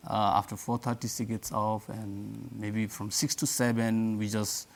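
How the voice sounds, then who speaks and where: A man from Bhutan whose first language is Nepali, answering interview questions about his daughter hesitantly, with frequent fillers and soft laughs. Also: fairly low pitch, flat delivery, measured pace, gravelly. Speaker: guest parent.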